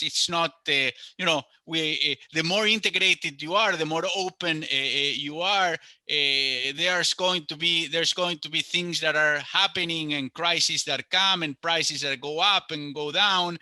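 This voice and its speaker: An economist from Argentina with an Argentine Spanish accent, answering interview questions in English and often stopping with fillers and repeated words. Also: male voice, on the high side, sharp tone, dry recording. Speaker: economist guest.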